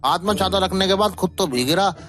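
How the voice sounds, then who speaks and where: Man acting a high-voiced jeering passerby. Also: brisk, fairly bright tone, soft background music. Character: jeering, gossipy village passerby. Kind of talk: Hindi animated moral story dialogue.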